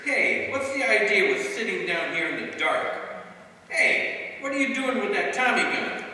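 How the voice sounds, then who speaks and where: An actor playing a crude, excitable gangster henchman in old-time radio style, with slangy tough talk. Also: high voice, unhurried, exaggerated voice. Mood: wary, curious. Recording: very echoey hall, far from mic.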